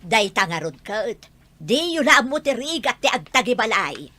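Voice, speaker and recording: Woman as a sharp, scolding Ilocano mother. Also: a little high, sing-song, normal speed, no echo, full character voice. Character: scolding, protective mother of a lazy grown son. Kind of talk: Ilocano audio drama acting.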